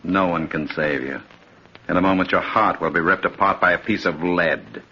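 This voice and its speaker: Vengeful radio villain, deep sharp voice, menacing, hissy old recording. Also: male voice, highs cut off. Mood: gloating menace. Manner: menacing, deliberate. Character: cold, vengeful man savoring revenge. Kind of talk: 1950s radio drama acting.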